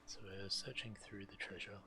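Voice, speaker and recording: A man's voice: on the low side, fairly even intonation, moderate pace, gravelly. A man giving understated, matter-of-fact commentary on a video game and reading quest text aloud. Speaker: game streamer. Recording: game music faintly behind. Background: video game music.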